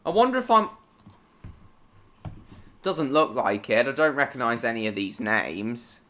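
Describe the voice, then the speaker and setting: Bright-voiced male live streamer. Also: mid-range voice, very animated, a little slow, a little hiss or hum. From Hong Kong. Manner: answers viewers by name, rambling and repeating himself; bursts into swearing at game mishaps. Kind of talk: casual live-stream chat while gaming.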